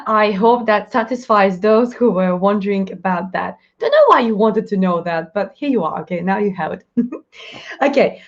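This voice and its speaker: A woman with a Russian accent hosting a live stream Q&A, chatty, upbeat and fast-talking, laughing often. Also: mid-range voice, dull audio. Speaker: live show host, mindset coach.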